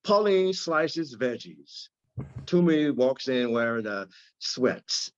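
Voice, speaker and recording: Slow-paced man reading screenplay directions. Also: mid-range voice, animated, highs cut off. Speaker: stage-direction reader. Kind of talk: scripted narration of screenplay directions.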